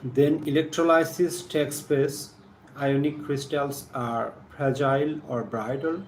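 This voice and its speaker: A man with a Bangladeshi accent giving a live chemistry lesson, partly reading aloud in a halting, deliberate manner, repeating phrases. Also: medium pitch, steady tone. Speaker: science teacher hosting a live stream. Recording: echoey room with faint background noise.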